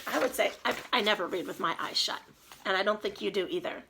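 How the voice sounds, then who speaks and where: A woman teacher reading aloud and giving a lesson to young children in an expressive, child-directed way. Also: mid-range voice, quick pace, creaky, background noise. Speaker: early elementary teacher.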